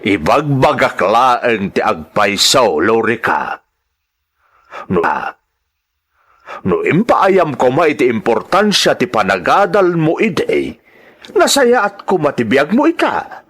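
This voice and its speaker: An actor playing a stern, exasperated elderly father in a radio drama, scolding with drawn-out emphasis. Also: medium pitch, very animated, a bit bright, breathy edge, full character voice.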